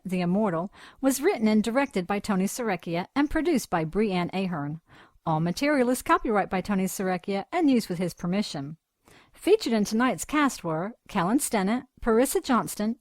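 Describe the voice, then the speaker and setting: A woman's voice: mid-range voice, no room sound, clean studio sound. A woman announcer reading scripted end credits for an audio drama.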